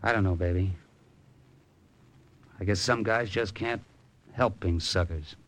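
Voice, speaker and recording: Low-voiced, hard-boiled radio gambler. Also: male voice, a little slow. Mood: resigned, dry. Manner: hard-boiled, slangy, laconic. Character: tough, cynical male gambler with a sentimental streak. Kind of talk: 1950s radio drama acting. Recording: noisy old radio drama recording.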